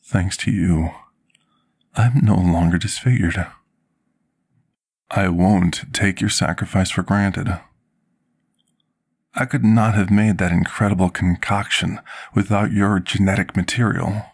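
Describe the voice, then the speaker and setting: ASMR fantasy roleplay: humble male potion master played by a man, very deep, gravelly voice, measured formal delivery, apologetic and dutiful. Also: fairly even intonation, full character voice. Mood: devoted, earnest. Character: devoted, apologetic male potion master tending a dragon patient.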